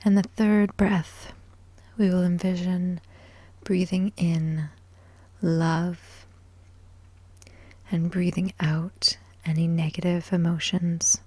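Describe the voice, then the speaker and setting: A woman guiding a sleep hypnosis in ASMR style, soft, breathy and whispery, soothing and measured, with long pauses between short phrases. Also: fairly low pitch, little pitch movement, no echo. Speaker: hypnosis and meditation guide.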